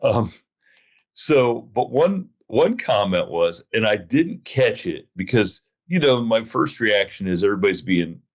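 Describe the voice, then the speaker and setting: Deep-voiced guy rambling thru a story on his podcast, pokes fun at himself. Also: sing-song, unhurried. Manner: rambling storyteller, self-mocking. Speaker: podcast host. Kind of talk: casual chat.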